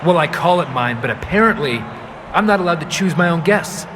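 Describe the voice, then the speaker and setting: A man's voice: fairly high pitch, animated, a little fast, natural voice. A man playing a cocky, sarcastic champion wrestler in a video game cutscene, with a dry, wisecracking delivery. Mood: dry irritation. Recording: background noise in game scenes.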